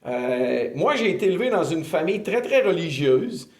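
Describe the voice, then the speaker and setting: A man in his fifties with a Québécois accent, earnestly telling his faith testimony in an interview, often pausing with filler sounds. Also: a little high, big pitch swings. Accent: Québécois French. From Quebec, Canada. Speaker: ministry director giving testimony. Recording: roomy echo.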